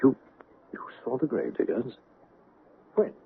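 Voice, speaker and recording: An actor playing a formal, discreet and deferential servant in a radio drama. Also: male voice, mid-range voice, wildly swooping pitch, unhurried, vocal fry, slight background noise, exaggerated voice. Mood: wary, formal. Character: formal, discreet male servant, grave and loyal. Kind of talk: 1970s radio drama acting. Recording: tinny narrow old radio recording.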